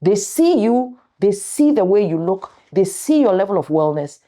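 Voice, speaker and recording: A middle-aged woman giving a motivational talk as host, speaking deliberately and emphatically in short, weighted phrases with pauses. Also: mid-range voice, sing-song, mellow. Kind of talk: motivational talk to an audience of viewers.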